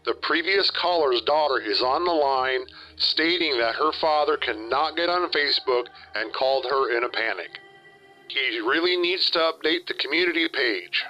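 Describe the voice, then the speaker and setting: A man's voice: mid-range voice, lively intonation, normal speed, pushed and bright. A man giving formal, matter-of-fact police dispatch reports over a radio. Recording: dull band-limited radio with music behind.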